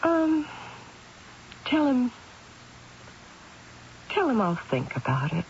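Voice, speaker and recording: An actress playing an eager, chatty middle-aged mother and soon-to-be grandmother in an old-time radio drama, expressive and often repeating herself. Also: fairly high pitch, slow pace, exaggerated voice. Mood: surprised, guarded. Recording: hissy, dull old radio recording.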